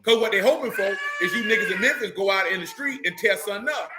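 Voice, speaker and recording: A man's voice: high-pitched, animated, fast talker, background noise. A man with speech teaching a religious group informally, emphatic and streetwise, often checking in with his listeners.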